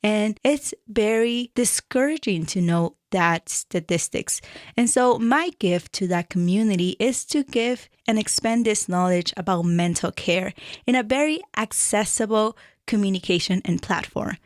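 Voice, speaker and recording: A woman from Peru with a Peruvian Spanish accent, a therapist hosting a radio show and reading a prepared introduction in a measured, earnest way. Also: medium pitch, no room sound, studio quality. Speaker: radio show host and mental health therapist.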